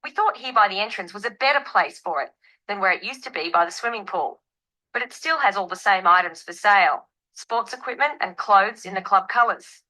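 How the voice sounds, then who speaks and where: A woman's voice: medium pitch, animated, fairly quick, sharp tone, poor audio. A woman playing a welcoming, proud health club tour guide in a scripted listening-test monologue.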